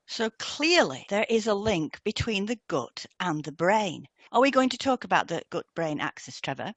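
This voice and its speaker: A woman co-presenting a scripted educational lesson in a measured, deliberate reading style. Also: medium pitch, big pitch swings, a bit bright, no room sound, studio quality.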